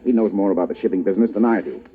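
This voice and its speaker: A man's voice: deep voice, rapid-fire, close to an everyday voice. An actor playing a genial, bumbling wealthy businessman in a 1940s radio drama, chuckling and hesitant, with a jovial manner. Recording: tinny lo-fi old radio broadcast.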